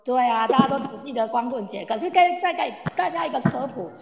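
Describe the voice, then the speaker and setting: Woman, highish voice, gravelly fry, explanatory and chatty, reverberant recording. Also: expressive, fast. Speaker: guest speaker explaining Polish culture. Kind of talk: informal explanatory talk to a live online audience. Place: live online audio room, reverberant sound. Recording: echoey, long reverb.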